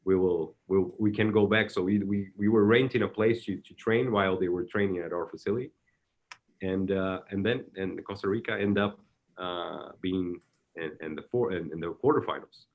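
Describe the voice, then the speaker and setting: A man with a Brazilian Portuguese accent, a soccer technical director, answering interview questions in a halting way and often repeating words while he searches for the next one. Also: low-pitched, phone-quality sound.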